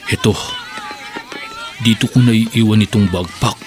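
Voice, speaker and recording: A man's voice: on the low side, steady tone, a little slow, noisy background, close to an everyday voice. An actor playing a nervous male bomber who mutters to himself while planting a backpack, in a radio drama. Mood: furtive. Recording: radio drama with loud music bed.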